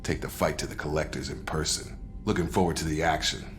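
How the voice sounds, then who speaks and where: A loyal male soldier with an extremely deep voice. He talks casually in clipped, reflective phrases in a game drama, with faint music behind. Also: fairly even intonation, brisk, a bit bright. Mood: sober, reflective. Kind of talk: dramatic video game dialogue.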